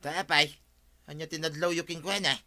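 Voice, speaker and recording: A man's voice: fairly high pitch, fairly even intonation, moderate pace, sharp tone. An actor playing a brash, inquisitive village man in an Ilocano radio comedy drama.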